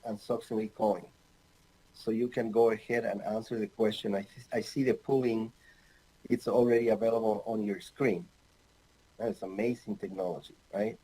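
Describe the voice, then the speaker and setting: Mellow-voiced man from Ecuador lecturing. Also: mid-range voice, low-quality recording. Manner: halting, many fillers, light humor. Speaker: anesthesiologist presenter. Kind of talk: recorded online medical lecture. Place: home office with bookshelves.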